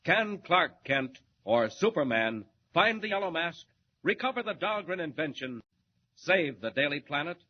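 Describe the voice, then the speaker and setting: A man's voice: on the high side, expressive, measured pace. A male announcer delivering an urgent, suspenseful cliffhanger close to a 1940s radio serial. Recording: dull old radio transcription with hiss.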